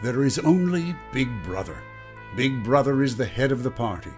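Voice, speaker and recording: An actor playing a grave, knowing voice in a radio drama, speaking deliberately and theatrically. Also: male voice, medium pitch, very animated, a little mellow, soft background music, close to an everyday voice. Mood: grave, declaiming. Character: grave narrator and needling, knowing inner voice. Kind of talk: dramatic radio play narration and acting.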